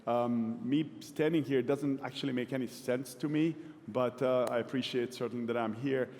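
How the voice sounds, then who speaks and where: A man from Hungary in his forties, giving a relaxed, self-deprecating conference talk to a live audience. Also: medium pitch, moderate pace. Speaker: keynote speaker. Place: large event hall. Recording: long hall reverb.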